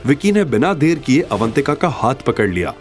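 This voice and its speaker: A man's voice: mid-range voice, big pitch swings, moderate pace. A man narrating a scripted audio drama story in a dramatic style. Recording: faint music behind.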